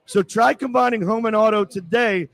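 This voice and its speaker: A man with a high voice hosts a live sports radio show. He grumbles and needles the people around him, slipping sarcastic digs even into his sponsor reads. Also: moderate pace, no room sound. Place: live remote broadcast at a casino.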